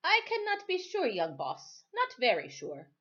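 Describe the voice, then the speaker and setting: Hearty old caribbean sailor guy done by a lady reading aloud, exclaims a lot, highish, swoopy, storybook dialect. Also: wildly swooping pitch, unhurried, pushed and bright, muffled recording, full character voice. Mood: grave, explaining. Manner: emphatic, exclamatory dialect speech. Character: older Caribbean sailor man, hearty, kindly, reassuring. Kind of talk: storybook character read aloud.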